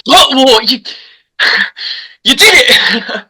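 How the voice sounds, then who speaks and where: Cocky, dismissive teenage boy played by a woman, very bright tone, slightly high, halting. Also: lively intonation, slightly rough, exaggerated voice. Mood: mocking, impatient. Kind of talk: table read of a film script.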